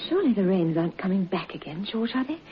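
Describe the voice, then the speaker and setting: A woman's voice: medium pitch, big pitch swings, fast talker, lightly acted. A woman acts a tender, anxious wife on a planter's estate. Her delivery swings expressively in the manner of old radio drama. The sound is muffled with light noise. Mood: weary, gentle. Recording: dull, noisy old radio recording.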